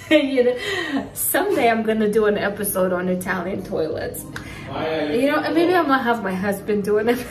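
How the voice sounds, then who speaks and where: A woman in her thirties chatting casually through a grocery haul vlog, relaxed with laughing asides, filmed on a phone in her home kitchen. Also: on the high side, distant mic. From California. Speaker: family vlogger. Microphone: phone camera at arm's length. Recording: echoey kitchen, voice a bit off-mic.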